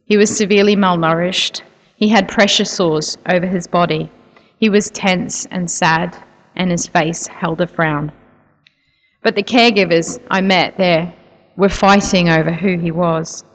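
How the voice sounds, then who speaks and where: A woman speaks to a church audience, earnest and soft-spoken, with the occasional um. Her voice sits a little low for a woman. It carries the long reverb of a big hall. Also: fairly even intonation, measured pace. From New Zealand. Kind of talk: church talk telling a personal story.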